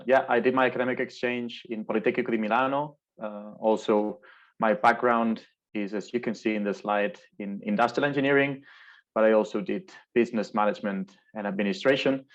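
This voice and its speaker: A young man from Spain with a Spanish accent, giving an earnest online talk and often repeating words as he searches for them. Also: mid-range voice. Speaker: alumni speaker. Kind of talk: virtual presentation. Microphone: video call. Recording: dull lo-fi video call audio.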